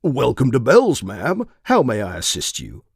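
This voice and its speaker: A man voicing a cheery animated Saint Bernard waiter with polite customer-service patter in an audio story. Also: mid-range voice, measured pace, vocal fry, breathy edge, dry recording, clean studio sound, voice pushed up, exaggerated voice. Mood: chirpy, polite. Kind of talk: scripted short-story character voice.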